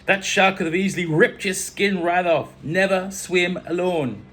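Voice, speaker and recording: Man putting on a loud Caribbean islander. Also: sits high, measured pace, voice pushed up. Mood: alarmed scolding. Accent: put-on Caribbean. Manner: loud, emphatic, blunt. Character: friendly, scolding Caribbean islander man at a beach. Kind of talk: storybook character voice in a memoir reading.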